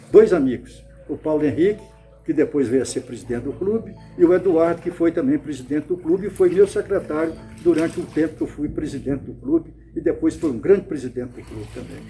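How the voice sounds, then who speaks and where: An older man from Bahia, Brazil, talks through old memories in a slow interview, often repeating and restarting words. His voice sits a bit high for a man but sounds mellow and relaxed. Soft instrumental music plays faintly behind him. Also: rough recording. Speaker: interviewee, club founder. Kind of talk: reminiscing interview.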